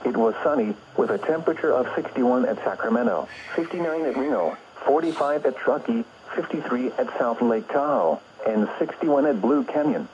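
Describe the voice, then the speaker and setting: A synthetic male announcer with an American accent reads a scripted weather bulletin over the radio in formal, impersonal, measured phrasing. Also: medium pitch, animated, darkish tone. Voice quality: synthetic text-to-speech voice. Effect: radio broadcast. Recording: dull lo-fi radio reception, noisy.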